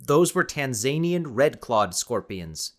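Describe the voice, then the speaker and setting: A man puts on an earnest young man of eighteen for an audiobook. He sounds cautious and deliberate, with a questioning manner and a mid-range voice. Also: mid-range voice, big pitch swings, a bit bright. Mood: serious. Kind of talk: audiobook character dialogue.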